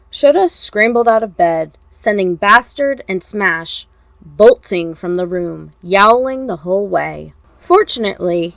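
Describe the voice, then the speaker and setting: A woman reading scripted fiction as an amateur narrator, with wry, expressive storytelling. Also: mid-range voice, vocal fry.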